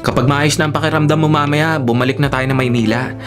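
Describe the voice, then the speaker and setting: Guy acting sassy campy young filipino friend in a radio drama, expressive, faint music behind. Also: a little high, fast, close to an everyday voice. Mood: concerned. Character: sassy, campy young man, caring travel companion.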